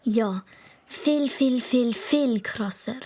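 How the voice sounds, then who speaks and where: A woman's voice: fairly high pitch, extremely slow, warm tone. A woman playing a bold, cheeky young girl with a Swiss accent in a children's radio play. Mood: excited, secretive.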